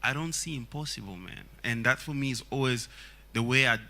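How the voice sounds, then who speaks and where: A man's voice: medium pitch, a little fast, sharp tone. A man from Congo, a non-native speaker of English, talking earnestly and reflectively as a songwriter on a panel, with many fillers. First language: Swahili. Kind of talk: casual panel talk.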